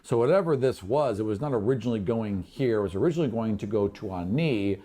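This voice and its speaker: A man's voice: on the low side, lively intonation, fast talker, mellow. A man acting as game master, improvising casual, colloquial narration of a fantasy tabletop game. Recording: clear background noise behind group table.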